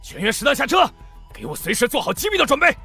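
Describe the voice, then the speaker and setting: A man's voice: extremely high pitch, huge pitch swings, fast, gravelly, sharp tone, soft background music, full character voice. A man playing an aggressive, bullying tough in an audiobook, barking menacingly. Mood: furious commanding. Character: bullying, aggressive male thug or soldier.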